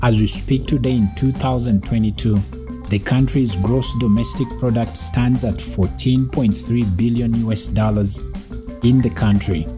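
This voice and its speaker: A man's voice: medium pitch, fairly even intonation, measured pace. Man narrating facts over music. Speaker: channel host. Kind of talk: scripted narration.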